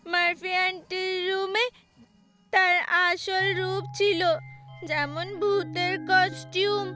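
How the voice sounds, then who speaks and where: A woman voicing the sorrowful ghost of a murdered young girl in a horror story, speaking plaintively and haltingly. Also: very high voice, pushed and bright, exaggerated voice. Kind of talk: acted horror story dialogue.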